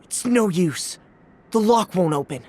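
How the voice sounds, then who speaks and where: A woman's voice: on the low side, expressive, unhurried, noisy background. A woman voicing an earnest, determined young adventurer in a fantasy video game. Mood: concerned.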